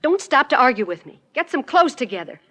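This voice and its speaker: A woman's voice: high-pitched, sing-song, fairly quick, bright, forceful tone, heavily acted. An actress playing a tough, intense young woman in trouble in a 1940s radio detective drama, clipped and insistent. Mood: urgent, pleading.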